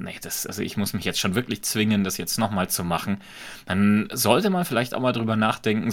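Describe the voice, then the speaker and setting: Low-voiced man, light Franconian lilt. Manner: reflective, measured, explains with examples. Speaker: podcast guest. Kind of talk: conversational podcast talk.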